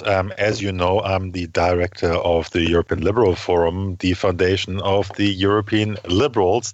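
A man's voice: low voice, no echo, highs cut off. A man who directs a political foundation, speaking as a podcast guest in a fluent, assured way. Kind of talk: podcast interview.

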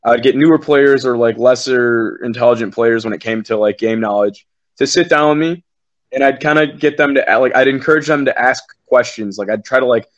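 A man's voice: mid-range voice, fairly quick. Guy commentator rambling on a podcast, cusses a lot, kinda dull muffled audio. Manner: rambling, profane, self-assured. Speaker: esports commentator. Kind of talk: casual podcast chat.

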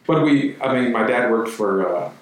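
A man from Chile, a guest in an interview, speaking in a thoughtful, halting way with many restarts. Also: mid-range voice, expressive, very echoey, far from the mic, poor audio. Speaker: guest, architect and screen printer. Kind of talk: relaxed conversational interview.

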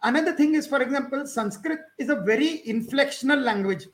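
A man, a Konkani speaker, talking in a notably high register. He lays out his argument in a measured, lecture-like way, building it up example by example as a podcast guest. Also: expressive. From India. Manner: measured, explains step by step with examples. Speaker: podcast guest, author on linguistics. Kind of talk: explanatory lecture-like talk.

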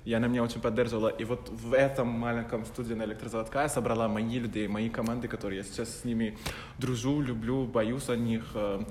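A man with an Arabic accent, speaking a learned language with loose grammar, rattles along quickly at a middle pitch. He laughs easily and talks in a casual, animated way. The recording sits in a reverberant room with faint noise underneath. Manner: fast, animated, laughs often, imperfect grammar. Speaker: fashion photographer. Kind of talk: casual interview chat.

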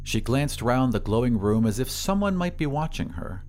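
A man giving a measured, deliberate and expressive reading of a literary story as a scripted dramatic narration. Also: mid-range voice, soft background music. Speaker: narrator of an audio story podcast.

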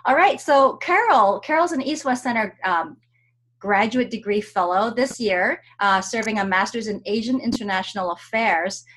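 A woman's voice: mid-range voice, animated, moderate pace, fairly bright tone, low-quality recording. Chatty woman hosting a video-call interview. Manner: chatty, many fillers and restarts. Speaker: host.

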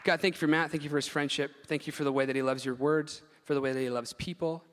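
A man in a church introducing the guest speaker and praying for him, quick and a little halting with fillers. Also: a little high, fairly even intonation, background noise. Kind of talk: introduction and prayer. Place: church hall. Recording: long church hall reverb.

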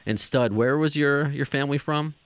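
A man's voice: on the high side, normal speed, smooth, dry recording. A man from New York hosting a podcast, chatty and jokey, asking his guest questions in casual interview talk. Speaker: podcast host.

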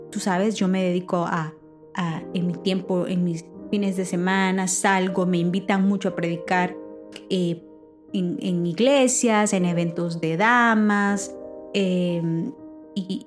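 A woman who preaches, with Spanish as her first language, telling a personal story in a lively, halting way with many restarts. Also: medium pitch. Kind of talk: personal testimony, casual talk.

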